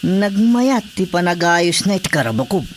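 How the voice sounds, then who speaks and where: A man's voice: sits high, sing-song, moderate pace. A man voicing a polite drinking buddy. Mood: relaxed. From Philippines. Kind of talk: radio drama acting. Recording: radio play with crowd noise behind.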